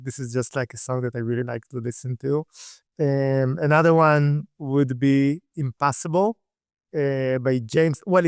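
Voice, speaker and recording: Man, Israeli accent, slightly high, smooth and clear, dry close sound, relaxed casual answers. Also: dry recording. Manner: relaxed, fillers and restarts, laughs lightly. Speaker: guest, startup CEO. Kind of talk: casual interview talk.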